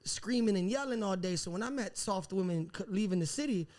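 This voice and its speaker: Young male musician guest, quick and high-pitched, lively storytelling. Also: a crowd in the background. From Puerto Rican roots. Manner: animated storyteller, acts out voices. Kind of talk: casual podcast conversation.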